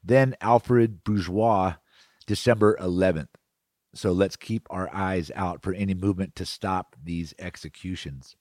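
A man with an American accent presents a radio magazine in a fairly low voice. His delivery is semi-scripted, like a host linking segments. The sound is dry and close. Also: steady tone, a little slow, a little mellow, no echo.

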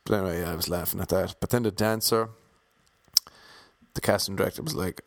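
A man's voice: low-pitched, a little fast, no room sound. A young man with an Irish accent telling wry, self-mocking stories about his auditions in a casual monologue. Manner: wry, self-mocking, playfully imitating others.

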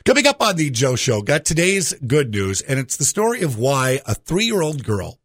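A man's voice: medium pitch, sing-song, normal speed, no echo, studio quality. A man hosting a radio show with a jokey conversational monologue, putting rhetorical questions straight to the listener.

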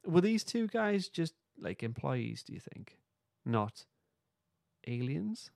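A man's voice: fairly high pitch, big pitch swings, slow, creaky, a little mellow, no echo, clean studio sound. A man hosting a podcast, casually recapping and discussing in an informal way. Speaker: podcast host.

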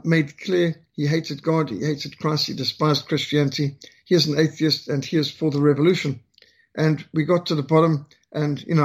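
Older Rhodesian-accented man, husky, fairly high voice, halting earnest storyteller. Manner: earnest, halting with frequent fillers. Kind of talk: personal storytelling talk.

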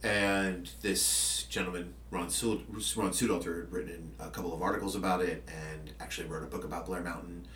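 Deep-voiced man rambling through podcast stories. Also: fairly even intonation, fairly quick, few pauses, fairly bright tone, roomy sound, far from the mic, a little hiss or hum. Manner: rambling, many fillers and restarts. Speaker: podcaster and journalist. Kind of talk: casual conversational interview.

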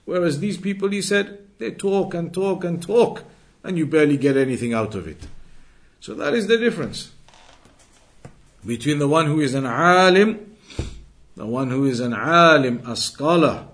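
A man's voice: fairly high pitch, animated, normal speed, fairly bright tone. A man giving a religious lesson as an Islamic teacher, speaking emphatically and repeating and rephrasing his key points. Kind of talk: religious lesson, explanatory lecture.

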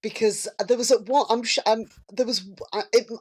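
A woman chatting casually as a podcast panellist, telling things in an animated way. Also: a little high, normal speed. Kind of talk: casual chat.

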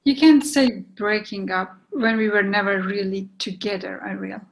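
A woman's voice: medium pitch, steady tone, moderate pace, vocal fry, a little hiss or hum, natural voice. A woman playing a guarded, apologetic young woman who is torn and hesitant, in a readers theatre reading. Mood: sad, firm. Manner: hesitant, regretful.

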